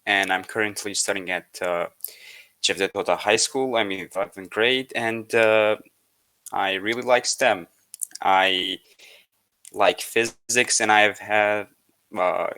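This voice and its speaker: Teen boy guest, shy and halting, low-pitched and bright. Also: clear, resonant tone. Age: teens. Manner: hesitant, many "uh"s. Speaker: guest, high school student. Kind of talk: casual podcast chat.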